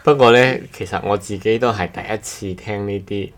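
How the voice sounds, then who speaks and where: A man's voice: lowish voice, normal speed. A man chatting casually as a podcast co-host, hesitant and repeating his phrases. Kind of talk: casual chat.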